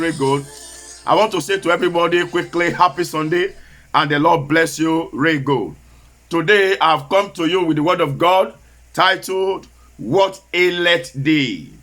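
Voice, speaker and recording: A man preaching a sermon with a Nigerian Yoruba accent, whose first language is Yoruba, slowly repeating key phrases for emphasis and switching into Yoruba. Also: on the high side, pushed and bright.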